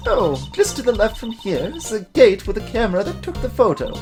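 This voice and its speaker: A fussy old woman played by a man working as a security guard in a video game. The voice swings up high and moves around a lot, rambling on with great self-satisfaction, over background music. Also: huge pitch swings, a little fast, darkish tone, heavily acted. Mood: chatty, fussy.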